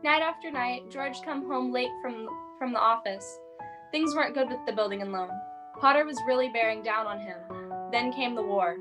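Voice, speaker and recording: A young woman playing a knowing angel who tells a life story in a measured radio-drama style. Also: a little high, over music, lightly acted. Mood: matter-of-fact, solemn. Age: young adult.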